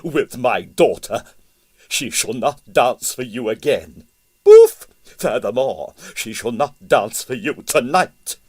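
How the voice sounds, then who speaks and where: A man's voice: mid-range voice, huge pitch swings, slow, a little mellow, slightly rough, heavily acted. A man voicing a large, formidable ballet mother in a storybook, imperious and indignant, with emphatic delivery. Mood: furious.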